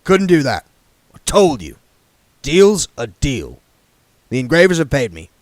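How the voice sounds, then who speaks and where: A man voicing a gruff, shrewd, wealthy businessman who speaks bluntly with a menacing, folksy edge. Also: mid-range voice, sing-song, a little slow, dry recording, pitched above the performer's own voice. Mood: suspicious.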